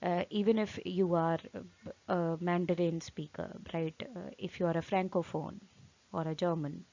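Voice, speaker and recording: A woman's voice: lowish voice, fairly even intonation, normal speed, warm tone. A woman in her thirties with an Indian English accent, teaching exam speaking skills in a rambling, filler-heavy talk full of "right?" tags and self-corrections. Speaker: IELTS trainer. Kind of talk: informal online teaching talk. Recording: dull lo-fi audio.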